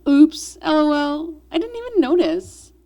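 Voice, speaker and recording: A woman's voice: high-pitched, big pitch swings, measured pace, mellow, dry recording, clean studio sound, pitched above the performer's own voice. A woman voicing a breezy, flippant office coworker in a story reading, with an exaggerated lilt. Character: breezy office coworker, laughing off being caught. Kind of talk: character quotes inside a story reading.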